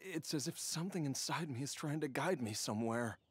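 A man's voice: a little high, animated, slight background noise, natural voice. A man playing a haunted, forgetful young man. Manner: anxious, halting, often sighing and whispering. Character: troubled young man losing his memory, desperate. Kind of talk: video game voice acting. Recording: game audio with soft music.

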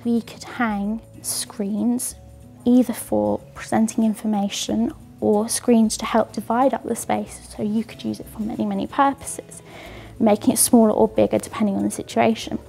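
A woman design student lays out her proposal in a brisk, practical way. Her voice sits higher with a gravelly edge, and faint music plays under her in an ornate synagogue hall. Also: level tone, mellow.

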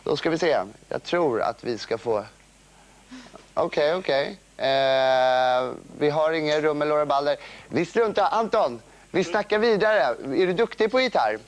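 A young man with a fairly high voice hosts a children's TV show. He chats casually and jokes playfully as he puts questions to his guests, on a handheld mic in a studio. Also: lively intonation. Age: young adult. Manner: playful, joking. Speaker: TV host. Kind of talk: casual live children's TV interview. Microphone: handheld microphone.

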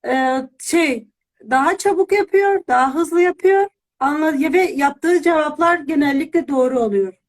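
High-pitched woman giving a testimonial. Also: a little slow. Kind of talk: conversational testimonial.

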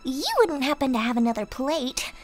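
A woman's voice: high voice, moderate pace, faint music behind, close to an everyday voice. A woman voicing a brash, bratty, girlish demon villain in a cartoon audio drama, flippant and mocking. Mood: smug.

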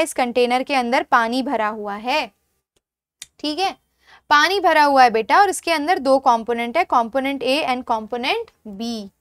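A young woman with an Indian accent gives an online lecture in a high voice. She moves slowly, dictating in English and explaining in Hindi, and keeps checking that her students understand. The sound is dry, with little room in it. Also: a bit bright, no echo. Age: young adult. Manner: addresses students affectionately, checks understanding often. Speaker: chemistry teacher. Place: indoor studio, seated before a digital board.

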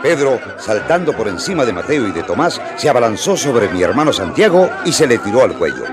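A man's voice: medium pitch, big pitch swings, fairly quick, a crowd in the background, lightly acted. An actor playing a storyteller who narrates his own past in a scripted radio drama. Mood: storytelling.

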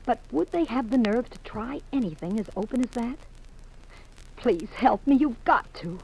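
A woman's voice: a little high, lively intonation, normal speed, gravelly, a little mellow, a little hiss or hum, low-quality recording. An actress playing an anxious, hesitant married woman with an imploring manner, in 1950s radio drama style. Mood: desperate pleading.

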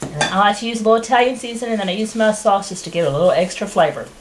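A woman in her thirties hosting a cooking show, explaining each step in a plain, homey, unhurried way in a rustic wooden kitchen. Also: medium pitch. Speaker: home cooking show host. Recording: noisy home video with kitchen clatter.

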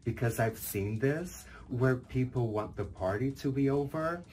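A man's voice: medium pitch, unhurried, darkish tone, slight background noise. A young man co-hosting a casual conversation, agreeable and explanatory. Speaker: co-host. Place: furnished sitting room indoors.

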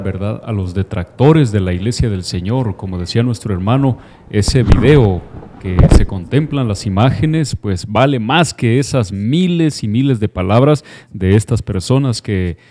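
Young man with a mellow voice. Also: on the low side, expressive, unhurried. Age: young adult. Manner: formal, devout. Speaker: news presenter. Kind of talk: live religious news report. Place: TV studio. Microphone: handheld microphone.

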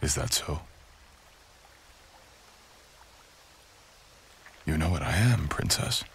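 A man's voice: very deep voice, lively intonation, moderate pace, vocal fry, slight background noise, heavily acted. A young man playing a dominant, menacing samurai ronin in a roleplay audio, speaking coldly and mockingly, sometimes in a whisper. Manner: cold, threatening, mocking, partly whispered. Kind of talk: boyfriend ASMR roleplay.

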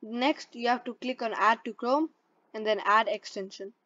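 A woman's voice: on the high side, normal speed, sharp tone. A woman presenting a step-by-step tutorial in a plain, instructional way, reading from a script. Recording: dull lo-fi voice-over.

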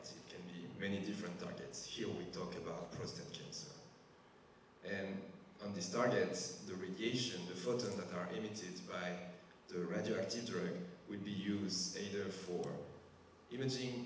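Low-voiced French man in a big hall. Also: a little hiss or hum. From France. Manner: slow, careful explanations with frequent hesitations. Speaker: physician speaker. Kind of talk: medical talk to a patient group. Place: large church hall with a stage. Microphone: handheld microphone. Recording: echoey hall from far off.

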